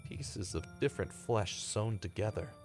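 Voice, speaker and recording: A man playing a grave, fearful investigator hunting a monster, halting and dramatic, in a vintage-style radio drama. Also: on the low side, expressive, natural voice. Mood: horrified. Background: loud dramatic music.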